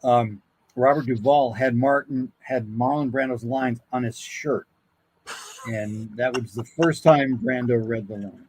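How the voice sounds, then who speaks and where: A man's voice: mid-range voice, measured pace, a little mellow, breathy edge, poor audio. A man chatting casually and telling anecdotes as a voice actor guest on a podcast.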